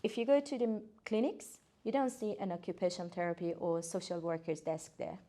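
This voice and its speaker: A woman's voice: on the low side, fairly even intonation, fairly quick, dark tone. A woman, a guest occupational therapist talking earnestly, with hesitant fillers and restarts. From Iran. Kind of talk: casual podcast interview.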